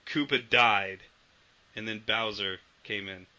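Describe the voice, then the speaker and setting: Man, lowish voice, very bright tone, animated storytelling, casual swearing, dull lo-fi audio. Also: slow. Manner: animated, acts out remembered quotes, swears casually. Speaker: podcast co-host. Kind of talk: casual chat about video games.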